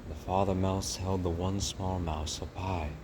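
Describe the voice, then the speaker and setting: Male narrator for children's fiction: very low, unhurried, deliberate, clearly noisy recording. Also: level tone. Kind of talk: dramatic storybook narration.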